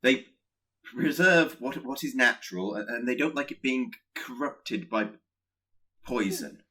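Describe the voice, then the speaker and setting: A man's voice: mid-range voice, animated, unhurried, bright, forceful tone. Radio play role for an actor: anxious, timid horseman of pestilence, stammering, wildly swinging intonation, pleading manner. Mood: anxious, hesitant. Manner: nervous stammer, hesitant.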